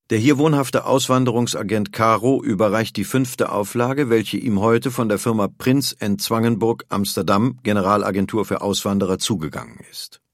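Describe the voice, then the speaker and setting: Low-voiced male documentary reader, dry sound. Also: no echo. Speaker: narrator and reader of historical documents. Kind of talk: scripted documentary narration and dramatic reading.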